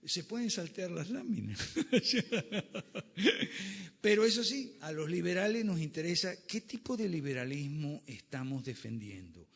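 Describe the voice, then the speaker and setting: A man's voice: a little high, big pitch swings, moderate pace, creaky. A man giving a classroom lecture with slides, emphatic and fond of rhetorical questions. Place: lecture room. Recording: reverberant lecture hall.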